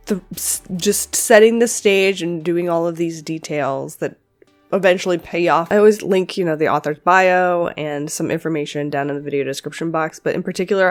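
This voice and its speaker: Young woman host, slightly stuffy, chatty and loose, lowish voice, faint music under. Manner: chatty, with fillers and self-corrections. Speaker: audiobook reader and channel host. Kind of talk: story reading, then casual commentary.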